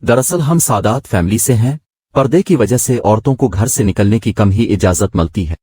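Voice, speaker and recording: Male narrator as genteel, lonely mother in a moral story, polite and explanatory, low and husky. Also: animated, normal speed, dark tone, no room sound, clean studio sound. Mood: polite, confiding. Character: genteel secluded wealthy mother, friendly and lonely.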